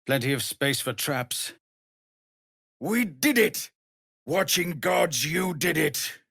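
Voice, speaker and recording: A male actor voicing a weary, earnest refugee leader and fallen warrior in a fantasy video game, with a gravelly, husky voice and a measured, formal, grave delivery. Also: a little high, lively intonation, pushed and bright, dry recording, clean studio sound, heavily acted. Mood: rousing, fervent. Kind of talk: dramatic fantasy game dialogue.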